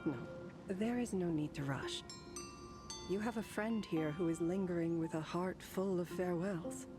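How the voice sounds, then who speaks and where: An actress voicing a serene, solemn ferrywoman in a video game, giving guidance in a calm, formal, measured way. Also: on the low side, music in the background, lightly acted. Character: serene, solemn ferrywoman guiding souls with gentle authority. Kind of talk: scripted video game voice acting.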